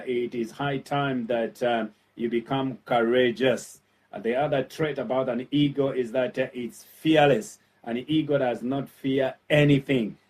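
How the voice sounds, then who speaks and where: A man with a Zambian accent giving an earnest, encouraging motivational talk as a pastor, with frequent filled pauses and points repeated for emphasis. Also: medium pitch, darkish tone, recorded from a distance.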